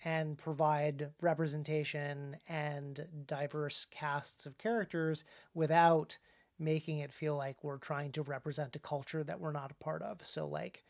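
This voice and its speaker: An American man hosting a casual discussion as an author, thinking aloud in a halting way with emphatic stresses. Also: on the high side, little pitch movement, vocal fry. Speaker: podcast host and author.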